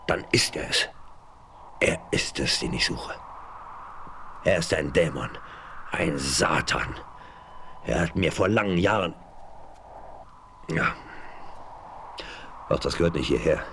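A man's voice: a bass voice, lively intonation, takes it slowly, long pauses, fairly bright tone, a little hiss or hum, exaggerated voice. An actor playing a brooding, vengeful man of the frontier, intense and emphatic, in a German radio play. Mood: bitter, haunted. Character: brooding, vengeful frontier westerner hunting his enemy.

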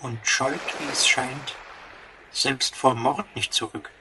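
An actor playing a pompous, pedantic pocket computer in a sci-fi radio play, with stilted, mock-courtly, long-winded speech. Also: male voice, medium pitch, fairly even intonation, slow pace, lightly acted. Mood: skeptical, courtly. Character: pompous, pedantic, flowery-tongued male pocket computer sidekick. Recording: distant sound with clear noise.

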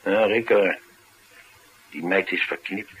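An actor playing a tough, cynical, streetwise bodyguard in a radio play, talking in a colloquial, matter-of-fact way. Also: male voice, low-pitched, fairly even intonation, unhurried, a bit bright, dull audio, slight background noise. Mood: wry, candid. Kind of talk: naturalistic radio drama dialogue.

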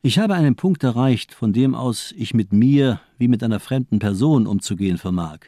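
A man's voice: mid-range voice, expressive, normal speed, dark tone, dry recording, clean studio sound, lightly acted. A man acting in a radio drama as a fanatical, mocking doctor, declaiming with insistent, rhetorical force. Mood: cold fervour. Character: fanatical, mocking villainous male doctor, zealously demanding.

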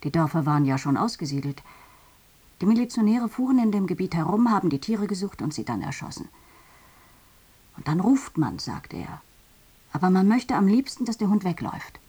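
A woman playing a sober witness in a radio drama, recounting in a plain, subdued way with a husky voice. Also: fairly low pitch, very animated, a little fast, dark tone. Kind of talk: testimony monologue in a radio drama.

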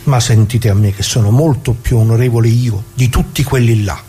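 A man's voice: medium pitch, fairly quick, mellow, soft background music, close to an everyday voice. An actor playing a talkative working-class grandfather, an old leftist reminiscing in a folksy radio monologue full of asides. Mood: indignant, confused. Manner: folksy, rambling, full of asides and rhetorical questions. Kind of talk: theatrical monologue for radio, colloquial storytelling.

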